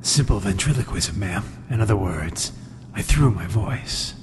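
An actor playing a cool, courteous, unflappable crime-fighting hero, dry and deliberate, in an old-time radio drama parody. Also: male voice, fairly low pitch, animated, background noise. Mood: cool, wry. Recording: echoing hall, music behind.

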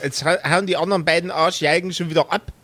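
A man's voice: on the high side, steady tone, quick pace, fairly bright tone, dry recording. A man acting as game master, improvising narration and banter for a tabletop role-play.

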